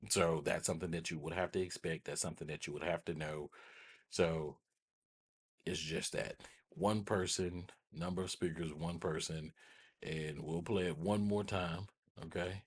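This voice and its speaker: Very deep, husky-voiced man giving a tutorial. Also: fairly even intonation, normal speed. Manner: informal, thinking aloud, repeats phrases. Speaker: tutorial host. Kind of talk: casual screen-share software tutorial.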